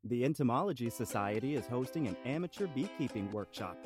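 Man playing straight-faced small-town islander, medium pitch. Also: lively intonation, brisk, music in the background, natural voice. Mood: straight-faced, announcing. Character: plain-spoken small-town island resident, earnest about absurd things. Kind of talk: improvised comedy and mock announcements.

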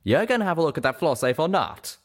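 Gruff winged-horse cowpoke, played by a man: Southern drawl, folksy, protective and quick-tempered, very animated delivery for a storybook. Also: fairly high pitch, voice pushed up. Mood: gentle coaxing. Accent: American Southern. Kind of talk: audiobook character voice.

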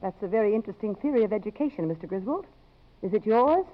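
A woman's voice: mid-range voice, big pitch swings, natural voice. Actress as poised, principled woman, muffled radio drama. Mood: indignant, cool. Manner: measured, emphatic, articulate. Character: poised, principled, outspoken accomplished woman returning to college. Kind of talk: 1950s radio drama acting. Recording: muffled old radio broadcast.

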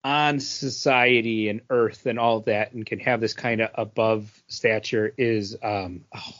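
A man's voice: fairly low pitch, fairly even intonation, unhurried, fairly bright tone. Man rambling through geek news, lo-fi. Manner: rambling, many fillers and restarts. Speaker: podcast co-host. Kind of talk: casual chat.

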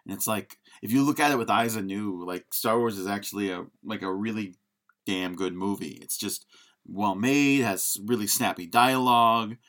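A man's voice: on the low side, normal speed, fairly bright tone. A man co-hosting a podcast, chatting informally about film and hesitantly feeling his way through opinions. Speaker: podcast co-host. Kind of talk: casual film discussion.

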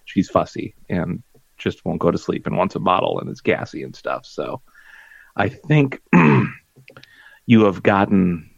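A man co-hosting a casual podcast, talking in a halting, wry, rambling way. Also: low voice, animated, vocal fry, slightly rough, no room sound, muffled recording. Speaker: podcast co-host. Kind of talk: casual chat.

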